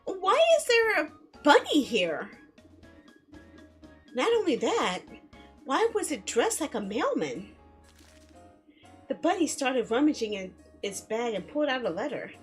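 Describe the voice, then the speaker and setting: A woman game streamer reading a visual novel aloud in a theatrical, expressive way, with playful asides. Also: sits high, measured pace, pauses often. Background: background music.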